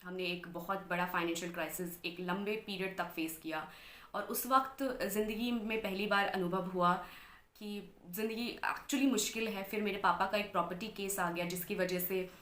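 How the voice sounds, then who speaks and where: A woman's voice: mid-range voice, steady tone, moderate pace, fairly bright tone. Young woman telling her story in an echoey room. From Bihar, India. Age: 20s. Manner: earnest, mixes English phrases into Hindi. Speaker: interview guest, anchor and model. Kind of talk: personal life story in an interview.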